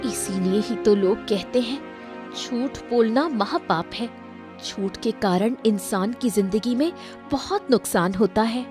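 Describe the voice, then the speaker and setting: A woman's voice: fairly high pitch, measured pace, a little mellow, soft background music, lightly acted. A woman playing a supportive, wise village wife in a Hindi cartoon story, speaking in an earnest, reassuring manner.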